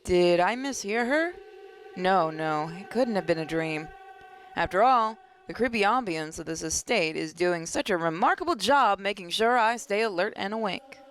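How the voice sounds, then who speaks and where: A woman hosting a gaming video, reading a visual novel aloud dramatically with playful, expressive asides. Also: medium pitch, moderate pace.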